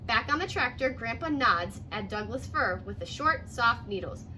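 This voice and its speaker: Young woman, American accent, high bright voice, children's read-aloud, teacherly delivery. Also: moderate pace, a little hiss or hum, rough recording. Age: young adult. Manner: animated, addresses young listeners with questions. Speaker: teacher reading a picture book aloud. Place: living room at home, indoors.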